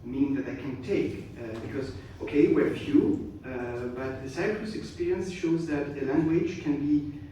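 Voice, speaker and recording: Man, halting formal speech, echoey hall. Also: medium pitch, mellow. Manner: halting, many hesitations and restarts. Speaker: guest speaker. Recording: echoey hall, distant mic, faint noise.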